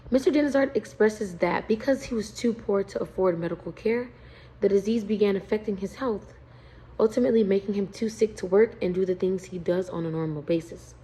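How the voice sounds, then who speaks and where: A woman's voice: mid-range voice, mellow, poor audio. Young woman reading a class presentation. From United States. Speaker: student.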